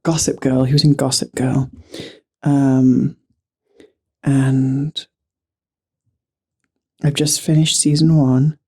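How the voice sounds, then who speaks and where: Hoarse, gravelly man whispering slowly. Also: mid-range voice, flat delivery, mellow. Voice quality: raspy, guttural. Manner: chatty, self-deprecating, drifts between whisper and voice. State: losing his voice, hoarse. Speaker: vlogger. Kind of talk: casual ASMR whispering. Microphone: handheld microphone.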